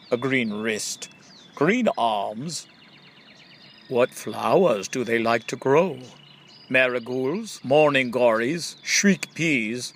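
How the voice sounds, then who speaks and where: A man with a distinctly creaky, gravelly voice reads a book of riddles aloud. He takes his time, posing each question in a playful way and stretching out the punchline. His pitch sits in an ordinary male range. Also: a little hiss or hum. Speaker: audiobook reader. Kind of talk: scripted reading of question-and-answer jokes and riddles.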